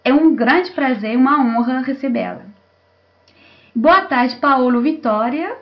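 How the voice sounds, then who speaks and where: A woman hosting a panel, introducing it in a semi-formal, somewhat halting way over an online call. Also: high voice. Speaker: host and moderator. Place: remote video call. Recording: dull lo-fi online call audio.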